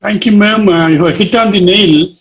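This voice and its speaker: A man's voice: high-pitched, moderate pace, rough recording. A man moderating a webinar in a courteous, formal way. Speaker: session moderator. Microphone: video call.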